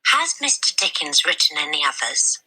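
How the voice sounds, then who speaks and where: A woman's voice: deep voice, very animated, normal speed, sharp tone, slightly rough, heavily acted, low-quality recording. A woman voicing a tiny bookish young girl, earnest and simple, in a children's storybook reading. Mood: earnest, delighted. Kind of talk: children's storybook read-aloud.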